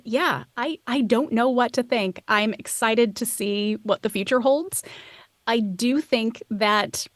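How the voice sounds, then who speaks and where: A woman's voice: fairly high pitch, no echo, studio quality. A woman giving reflective, conversational interview answers, thinking aloud and questioning herself as she goes. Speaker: podcast host as guest expert.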